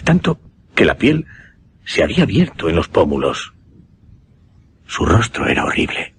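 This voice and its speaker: An actor with a Castilian Spanish accent playing a grave hypnotist who recounts horrors deliberately in a suspense radio drama. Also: male voice, low-pitched, huge pitch swings, creaky, slight background noise, exaggerated voice. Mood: haunted, horrified. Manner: deliberate, dramatic, hesitating and repeating words for effect.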